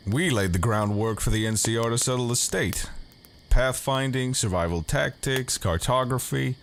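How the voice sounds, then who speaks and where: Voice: mid-range voice, moderate pace, slight background noise, lightly acted. An actor playing a stern, suspicious army major in a post-apocalyptic audio drama, curt, commanding and humorless. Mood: stern, clipped. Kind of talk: fan audio drama acting.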